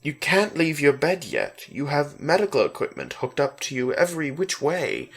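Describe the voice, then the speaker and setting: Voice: medium pitch, big pitch swings, normal speed, pitched above the performer's own voice. A reader voicing a female hospital nurse, patient and hesitant, explaining carefully in a dramatic story reading. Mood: businesslike.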